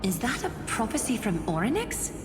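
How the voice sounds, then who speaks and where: A woman voicing a sober, questioning comrade in a game, measured and serious. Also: a little high, very animated. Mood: pensive. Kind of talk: game voice acting. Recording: echoey long reverb, clear music behind.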